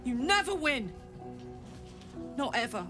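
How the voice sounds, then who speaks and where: A woman's voice: high-pitched, sing-song, slow, over loud music, full character voice. An actress playing a wary, world-weary woman in a video game, speaking earnestly and with emphasis. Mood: earnest, bitter. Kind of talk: video game character dialogue.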